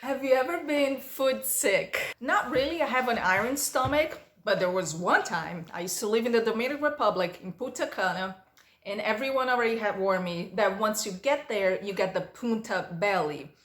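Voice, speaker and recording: A woman's voice: mid-range voice, fairly bright tone, reverberant. A woman whose first language is Portuguese, reading questions aloud and answering them in a chatty, storytelling way for her vlog. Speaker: vlogger. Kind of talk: casual Q&A vlog.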